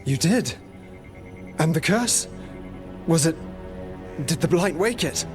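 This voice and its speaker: An actor playing a friendly, plain-spoken villager in a video game. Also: male voice, on the high side, expressive, slow pace. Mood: relieved, grateful. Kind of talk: dramatic video game cutscene acting. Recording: faint music behind the voice.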